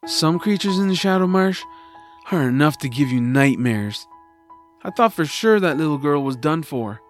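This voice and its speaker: A man's voice: on the high side, lively intonation, moderate pace, soft background music, lightly acted. A man acting an earnest, kind-hearted young adventurer who also narrates in an audio drama. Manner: earnest, eager.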